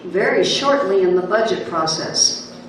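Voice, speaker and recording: A woman on a town hall panel answering residents, measured and reassuring, often restarting her phrases. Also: medium pitch, animated, a crowd in the background. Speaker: board director on a panel. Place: large echoey meeting room. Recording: echoey room, distant mic, faint noise.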